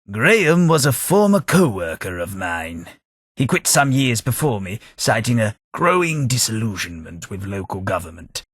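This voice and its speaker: A man playing a husky centuries-old British gentleman. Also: lowish voice, no room sound, studio quality, exaggerated voice. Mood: wistful. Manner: formal, polite and dryly wry. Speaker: game companion. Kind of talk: video game voice acting.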